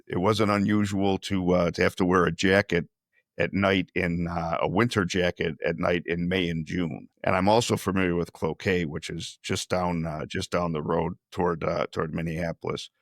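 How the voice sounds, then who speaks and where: A man with a very low, gravelly voice hosts a podcast interview. He talks in a measured, unhurried way and often restarts his sentences. The sound is dry and close. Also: fairly even intonation, dry recording. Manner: measured, with frequent restarts and fillers. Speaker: podcast host. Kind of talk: conversational interview.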